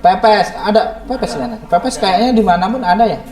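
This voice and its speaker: A man teaching a class, hoarse and slightly raspy from a cold, quizzing his students in a lively way. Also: high-pitched, normal speed, a crowd in the background. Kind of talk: classroom lesson. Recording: echoey classroom from far off, students behind.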